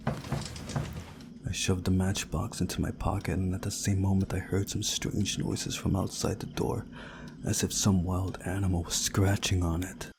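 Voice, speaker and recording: Low, even-voiced man reading a story. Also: gravelly, background noise. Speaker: video game playthrough narrator. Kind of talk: reading visual novel story aloud.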